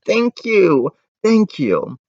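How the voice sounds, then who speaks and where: A man's voice: high-pitched, very animated, extremely slow, gravelly, a little mellow, pitched above the performer's own voice, exaggerated voice. A man voicing an overworked young baker's daughter in a picture book, pleading and emphatic. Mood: grateful. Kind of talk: children's picture-book character voice. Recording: dull, roomy home recording.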